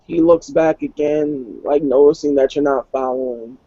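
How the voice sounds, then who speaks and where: A man's voice: a little high, steady tone, moderate pace, mellow, dry recording. A man narrating his character's actions in a tabletop roleplay game. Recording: dull, lo-fi online call.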